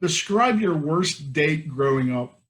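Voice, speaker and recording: A man's voice: a little high, expressive, takes it slowly, a bit bright. A man hosting an interview, asking questions casually with short reactions and stammered restarts like an eager fan. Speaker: show host and interviewer.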